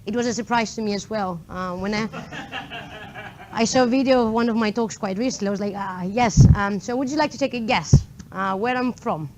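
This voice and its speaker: A woman's voice: mid-range voice, fairly quick, darkish tone, slight background noise, rough recording. A young woman from Greece with a Greek accent, giving a chatty talk to a meetup audience, full of fillers and asides. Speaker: conference speaker. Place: lecture room before an audience.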